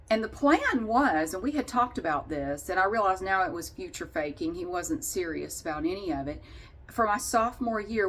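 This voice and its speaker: A woman's voice: on the low side, fairly bright tone. Candid woman telling a personal story. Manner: candid, wry, sarcastic. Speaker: vlogger.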